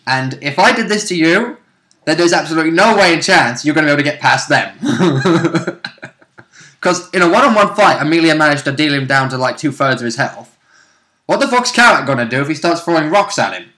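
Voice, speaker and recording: Higher-pitched, bright-voiced man chatting casually. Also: expressive, a little fast. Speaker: tabletop roleplay player. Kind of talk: casual chat about a game.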